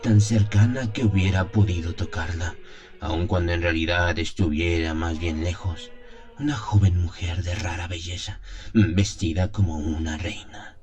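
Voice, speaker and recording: A man playing a devout priest who solemnly recalls his innocent youth in a gothic radio drama, in measured, literary phrases. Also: low-pitched, fairly even intonation. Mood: solemn, fervent. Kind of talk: dramatized first-person gothic story narration. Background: music.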